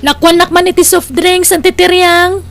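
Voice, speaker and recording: A woman's voice: very high-pitched, fairly even intonation, normal speed, gravelly, over music. An Ilocano-accented woman playing a disapproving, nagging elder sister from a village in an audio drama. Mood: defensive. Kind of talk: Ilocano radio drama dialogue.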